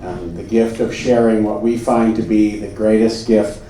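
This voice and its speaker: A man narrating a comedy puppet show on stage with mock solemnity, deadpan, pompous and halting, in a very echoey, distant recording. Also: on the low side, a little hiss or hum. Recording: very echoey, distant mic, music behind.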